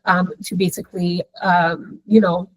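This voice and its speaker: A woman's voice: fairly low pitch, little pitch movement, unhurried, no echo, poor audio. A woman moderating a webinar panel over a video call, friendly and meandering with many fillers.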